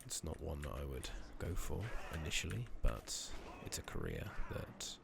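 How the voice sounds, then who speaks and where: Very deep, gravelly, soft-spoken man. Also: normal speed. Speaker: game streamer, ASMR host. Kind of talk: soft-spoken, partly whispered ASMR game playthrough. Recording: steady noise, keyboard typing and clicking.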